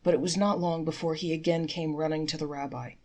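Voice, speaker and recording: Woman reading a kids folktale out loud, voice on the lower side. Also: fairly even intonation, brisk. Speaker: storyteller. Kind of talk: read-aloud children's folktale narration.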